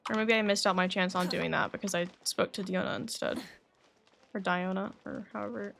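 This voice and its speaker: A woman's voice: medium pitch, a little fast, vocal fry, slight background noise. A woman livestreaming a game, chatting casually and thinking aloud. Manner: thinking aloud, animated exclamations. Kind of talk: casual gaming stream chat, reading quest dialogue aloud. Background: faint game music.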